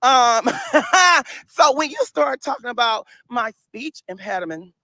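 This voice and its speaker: A man's voice: very high-pitched, lively intonation, takes it slowly, pushed and bright, dry recording. A man in his thirties from St. Louis with a speech impediment, ranting emphatically and laughing often in a casual vlog. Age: 30s. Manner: emphatic, repetitive, laughs often while talking. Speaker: social media personality. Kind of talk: casual livestream-style rant.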